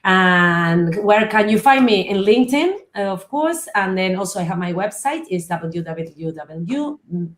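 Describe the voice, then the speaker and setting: A woman speaks English on a video call, pausing often to find her words. Her voice is mid-pitched and her room gives a clear echo. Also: expressive. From Argentina. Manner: searching for words, many fillers. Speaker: guest member. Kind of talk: casual video call chat. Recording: roomy echo.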